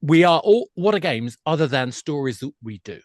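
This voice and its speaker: A man's voice: a little high, animated, moderate pace, no room sound, clean studio sound. A man speaking as an expert podcast guest, explaining conversationally with enthusiasm and emphasis, often restarting to rephrase.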